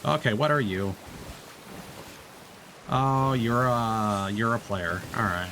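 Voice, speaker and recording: A man's voice: mid-range voice, slow pace, a bit bright, a little hiss or hum. A man streaming a game, talking casually with lots of fillers and restarts. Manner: rambling, many fillers and restarts. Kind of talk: casual gameplay commentary.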